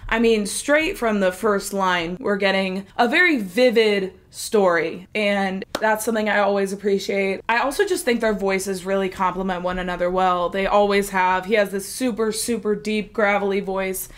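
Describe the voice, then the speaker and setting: A woman's voice: mid-range voice, normal speed, hardly any pauses. A woman with a stuffy nose from a cold, chatting enthusiastically as she reacts to a new song. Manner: gushing, enthusiastic, chatty with fillers. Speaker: music reaction vlogger. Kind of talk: casual chat.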